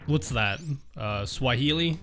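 A man's voice: medium pitch, expressive, slow, pushed and bright, soft background music. A man streaming a game, chatting casually and joking with his viewers as he reads their messages aloud. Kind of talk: casual chat while playing a game.

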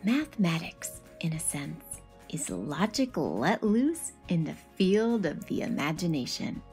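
A woman in her thirties giving an expressive picture-book read-aloud, animatedly addressing children with questions and asides. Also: low voice, a little slow, soft background music.

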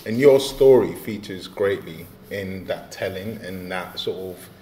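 A man hosting a panel, asking measured questions with occasional fillers in a rehearsal room. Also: low-pitched, mellow, a little hiss or hum. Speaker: host, artistic director. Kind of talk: interview questions. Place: large rehearsal room indoors. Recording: roomy echo, faint ambience.

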